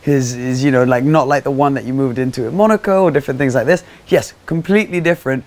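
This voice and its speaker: A man's voice: mid-range voice, fast, darkish tone. A young man, giving a chatty, upbeat house tour as he walks around, speaking into a small clip-on mic. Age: 20s. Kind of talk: casual walk-through vlog. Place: small rented house and garden, indoors and outdoors. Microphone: small clip-on mic on his shirt.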